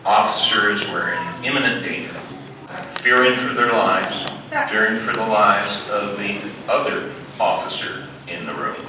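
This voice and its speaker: A man giving a halting, deliberate public briefing as an official presenting case facts. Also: on the low side. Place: community center meeting room, far from camera mic. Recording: echoey hall, distant mic, background noise.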